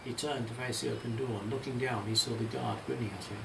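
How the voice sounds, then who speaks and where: A man's voice: medium pitch, steady tone, fast talker, gravelly, background noise. A man reading his own nostalgic story as scripted storybook narration, in a roomy, slightly distant recording. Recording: roomy, echoey, distant, music behind. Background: music.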